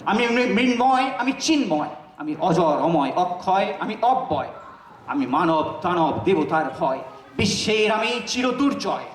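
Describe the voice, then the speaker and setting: A man recites poetry in a notably high voice, slowly and with dramatic, impassioned emphasis. His declamation sounds set back from the microphone in an echoing space. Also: big pitch swings, slight background noise. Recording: roomy echo, distant microphone.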